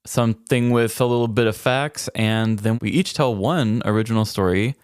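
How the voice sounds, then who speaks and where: A man's voice: fairly low pitch, normal speed, smooth, no room sound, studio quality. A man co-hosting a podcast in a casual, comedic chatting style. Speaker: podcast co-host.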